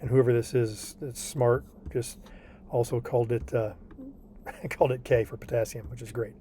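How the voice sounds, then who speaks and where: A man's voice: mid-range voice, steady tone, moderate pace, warm tone, a little hiss or hum. A man tutoring a student over a video call, explaining homework patiently and reassuringly, often checking in with "okay?". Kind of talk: online tutoring session walking through homework.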